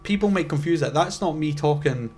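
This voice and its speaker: A man's voice: on the high side, brisk, darkish tone, slight background noise. A young man co-hosting a podcast in casual chat. He rambles, stops himself mid-sentence and makes wry asides. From Scotland. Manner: rambling, self-interrupting and wry. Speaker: podcast co-host.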